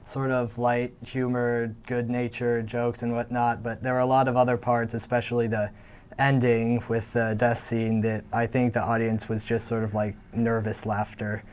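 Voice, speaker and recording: A teenage male student actor answering interview questions in a measured, explanatory way. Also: medium pitch, completely flat delivery, darkish tone, smooth.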